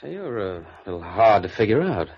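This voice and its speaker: A muffled vintage radio recording of a smooth, evasive fiancé. His voice is low and husky. He sounds chummy and probing, with an uneasy hesitance. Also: sing-song, moderate pace, exaggerated voice. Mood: affable, probing. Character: smooth, evasive male society fiancé, affable but uneasy. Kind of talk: old-time radio drama acting.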